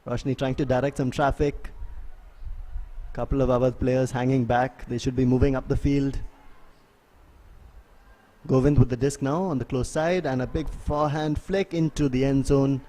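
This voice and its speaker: A man giving live play-by-play sports commentary in a measured, analytical way. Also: medium pitch, fairly even intonation, warm tone. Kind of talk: live play-by-play commentary of an ultimate frisbee match.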